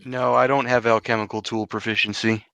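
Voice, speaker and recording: A man's voice: medium pitch, little pitch movement, fairly quick, creaky, bright, forceful tone, dry recording. A man playing a tabletop role-playing game, chatting casually and speaking for his character.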